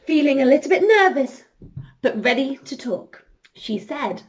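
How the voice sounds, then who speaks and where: A woman storyteller leading an interactive children's story, expressive and encouraging, speaking directly to her young listeners. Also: high voice, a little slow. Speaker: children's storyteller, princess entertainer.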